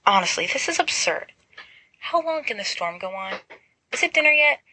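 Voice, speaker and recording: A woman's voice: medium pitch, very animated, normal speed, bright, forceful tone, breathy edge, no echo, pitched above the performer's own voice, heavily acted, low-quality recording. Woman as a dry, sarcastic teenage boy. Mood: exasperated.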